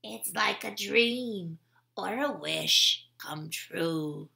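A woman's voice: on the low side, very slow, vocal fry, pushed and bright, roomy sound, heavily acted. A woman voicing a flustered, loving mother toad in a storybook read-aloud. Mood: relieved.

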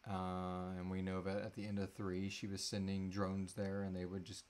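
A man in his thirties hosting a casual live question-and-answer chat, often halting and trailing off mid-thought. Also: low voice, completely flat delivery, darkish tone. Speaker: host answering audience questions. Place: small indoor room.

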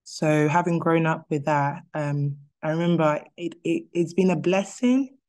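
A woman's voice: sits low, unhurried, a little mellow. Casual woman sharing a faith reflection. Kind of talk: personal Bible reflection, casual.

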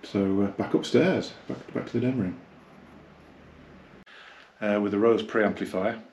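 A man gives a casual, unscripted hi-fi review, rambling and halting as he reminisces. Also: fairly low pitch, vocal fry, darkish tone, a little hiss or hum. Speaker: hi-fi dealer and reviewer. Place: small carpeted indoor room, seated.

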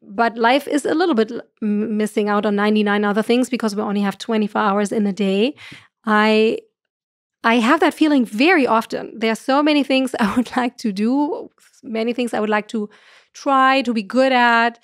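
Podcast guest, German-accented woman, middle-aged, expressive and earnest, dead-dry studio sound. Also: fairly high pitch, moderate pace, dry recording. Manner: earnest, gestures a lot, laughs often. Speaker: guest astrophysicist. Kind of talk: podcast conversation. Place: room with curtains. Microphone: studio mic on boom arm.

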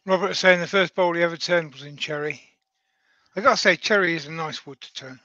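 A man's voice: fairly high pitch, fairly quick, pushed and bright, no room sound. A man co-hosting a live stream, reading out viewer comments in an easygoing, friendly way over a muffled remote line. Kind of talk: casual chat.

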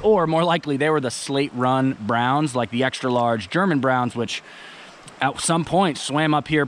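A young man vlogging as he fishes outdoors by a creek, chatting in a relaxed, eager way into an action camera he wears. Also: medium pitch, a little hiss or hum. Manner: relaxed, chatty, enthusiastic about fish. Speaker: fishing vlogger. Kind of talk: casual outdoor commentary. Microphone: action camera on the body.

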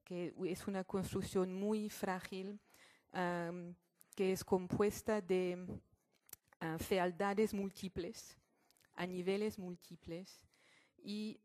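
A woman giving a public lecture as a guest speaker in non-native Spanish, halting as she searches for words. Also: mid-range voice, warm tone. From born in Morocco, French mother, Catalan family. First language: not Spanish; French-schooled. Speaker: guest speaker, sociologist and activist.

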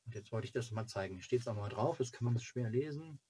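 Halting, slightly husky man chatting casually. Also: mid-range voice, warm tone. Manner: halting, rambling delivery. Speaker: fan club video host. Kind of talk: casual chat to camera. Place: small cluttered home room.

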